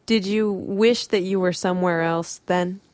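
Measured woman radio interviewer, low-ish voice. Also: steady tone, darkish tone, no echo. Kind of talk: calm interview questions and introductions.

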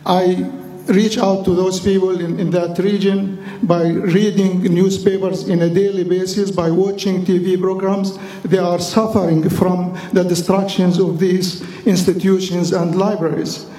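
A high-pitched man with a mellow, darkish tone speaks earnestly at a meeting's floor microphone, appealing to the council in slightly non-standard English. He is from the Middle East. The sound is roomy and heard from some distance in a big hall. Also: little pitch movement, a little slow. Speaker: chapter councilor. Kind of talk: public comment speech at a meeting. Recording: echoey hall, distant mic.

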